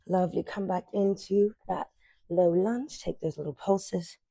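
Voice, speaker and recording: A woman in her twenties guiding a morning stretching class, cueing softly and unhurriedly as she moves. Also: fairly low pitch, fairly even intonation, measured pace, gravelly, husky, no echo. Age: 20s. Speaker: yoga instructor. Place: sunlit living room with large windows.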